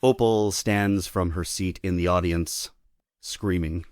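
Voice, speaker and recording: A man's voice: low voice, steady tone, slow, darkish tone, no echo, studio quality. A man giving scripted narration, reading out the stage directions of a play.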